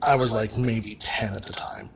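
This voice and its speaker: A man's voice: lowish voice, fairly even intonation, normal speed, vocal fry. Man telling a playful story. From Canada. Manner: playful, acts out the voices in his story. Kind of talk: storytelling anecdote. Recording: crowd noise behind.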